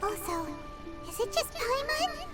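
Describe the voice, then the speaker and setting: Super high squeaky little game fairy girl, bouncy pitch, curious, loud music behind. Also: sing-song, unhurried, exaggerated voice. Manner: childlike, squeaky, inquisitive. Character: tiny floating girl fairy companion, curious and chatty. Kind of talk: video game voice acting.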